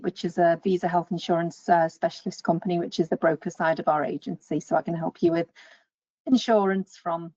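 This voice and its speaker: A woman's voice: lowish voice, darkish tone, no echo. Agency director lady, matter of fact, dry dull call audio. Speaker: agency director and insurance broker. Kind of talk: panel introduction. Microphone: video call.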